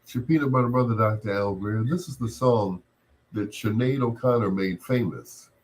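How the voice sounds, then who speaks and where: A man with a fairly low voice hosts a late-night music show. He talks in casual radio patter, playful and teasing. He addresses listeners with easy familiarity, as if they were old friends. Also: normal speed.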